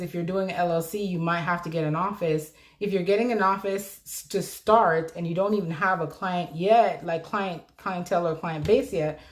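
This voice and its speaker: A woman from South Florida, a notary business mentor, chatting live with viewers in an upbeat way and laughing often. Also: on the low side. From South Florida, USA. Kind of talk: live-stream chat answering viewers.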